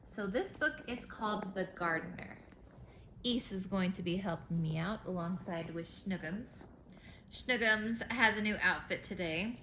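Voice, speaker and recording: A woman teacher reading a picture book aloud to children, pausing for simple explanations and questions. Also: mid-range voice, pushed and bright. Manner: simple explanations and questions addressed to young listeners. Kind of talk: read-aloud storytime with explanatory asides. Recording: roomy echo, faint background noise.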